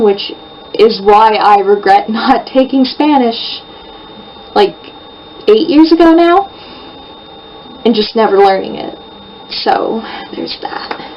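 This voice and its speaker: A young American woman in her twenties chatting casually to camera in a rambling way, full of fillers. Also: a little high. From United States. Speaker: vlogger. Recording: muffled distant home-video sound, faint hiss.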